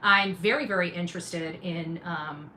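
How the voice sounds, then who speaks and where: Emphatic woman commentator with clear background noise. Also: lowish voice, unhurried, pushed and bright. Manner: emphatic, repeats phrases, sarcastic asides. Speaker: independent journalist and livestream host. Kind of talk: casual livestream commentary to viewers.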